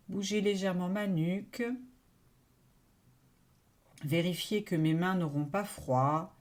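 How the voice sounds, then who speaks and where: A woman guides a relaxation session as a yoga nidra teacher. Her voice is mellow and relaxed, at a medium pitch. She speaks very slowly and soothingly, in short phrases separated by long pauses.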